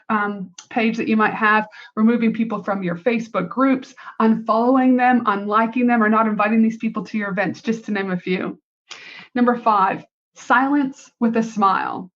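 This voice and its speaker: High-voiced Canadian woman, emphatic and blunt. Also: highs cut off. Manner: emphatic, blunt, casual swearing. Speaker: podcast host. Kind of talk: solo motivational business podcast talk.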